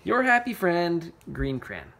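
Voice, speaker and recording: A contented storybook crayon read by a man, courteous and measured. It is a polite peacemaker with an everyday speaking voice. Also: a little high, huge pitch swings, gravelly, fairly bright tone, voice pushed up, heavily acted. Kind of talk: children's picture book read-aloud.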